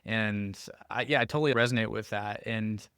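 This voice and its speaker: Low-voiced man, halting podcast host. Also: sharp tone, clean tone, no echo, clean studio sound. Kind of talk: casual interview conversation.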